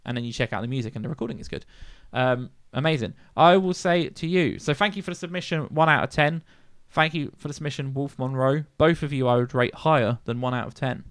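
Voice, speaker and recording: A man's voice: mid-range voice, fast, hardly any pauses, smooth, no echo, studio quality. A man co-hosting a music podcast in casual chat, laughing often and swearing casually. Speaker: podcast co-host.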